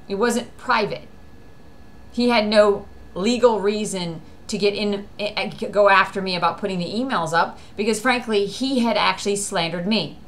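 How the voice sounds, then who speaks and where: A woman with an American accent speaks off the cuff at a medium pitch. Her voice is bright and sharp. She rambles in an aggrieved, insistent way, as in a personal video. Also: slight background noise. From US East Coast. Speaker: actor recording a personal video. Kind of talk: unscripted personal monologue.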